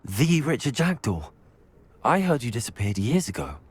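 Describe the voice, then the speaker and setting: A man playing a composed, inquisitive young wizard student in a video game, speaking in a measured way. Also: mid-range voice, very animated, husky. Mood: earnest, questioning.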